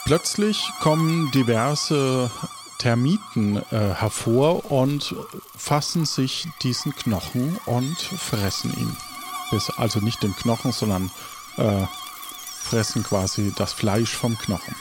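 A man improvising as game master of a role-playing game, narrating haltingly and searching for words. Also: mid-range voice, wildly swooping pitch, background noise.

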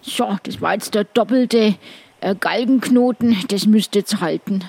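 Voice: mid-range voice, a little slow, gravelly, a little mellow, slight background noise. A person voicing an eager, naive, beer-drinking strongman sidekick with colloquial exclamations in an improvised role-play. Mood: boastful, helpful. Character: Kalle, strong beer-drinking simple-hearted sidekick, uneasy but eager.

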